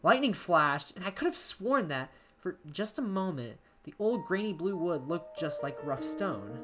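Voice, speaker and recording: A woman reading a first-person horror story as an amateur narrator, expressive and dramatic, letting phrase endings drop to a hush. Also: on the low side, unhurried. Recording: soft guitar music behind the voice. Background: plucked guitar music.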